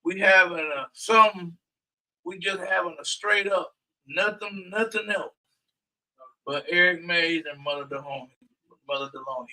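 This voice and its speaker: A man talks loudly and emphatically, rambling on as co-host of a casual community show. His voice is high and very bright. He sits a little back from the microphone. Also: normal speed, distant mic, rough recording.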